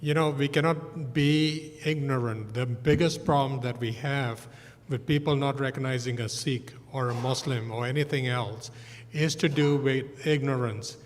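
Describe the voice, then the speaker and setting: A man's voice: mid-range voice, fairly even intonation, moderate pace, a little hiss or hum. Earnest panelist man in a reverberant church. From Malaysia and Singapore. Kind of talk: panel discussion. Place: church sanctuary. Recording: long church-hall reverb.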